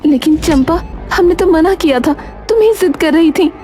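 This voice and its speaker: An anguished, protective young mother played by a woman in a cartoon story. Her voice is high and very animated, pleading and indignant. Music runs behind it. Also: moderate pace. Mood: defensive. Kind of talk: cartoon moral story acting.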